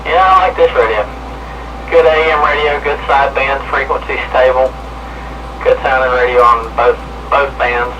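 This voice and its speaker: A man's voice: mid-range voice, normal speed, dull audio. Distant man on noisy CB radio. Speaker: CB radio hobbyist. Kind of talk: casual radio check-in chat about equipment. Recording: distant, loud CB radio static and noise.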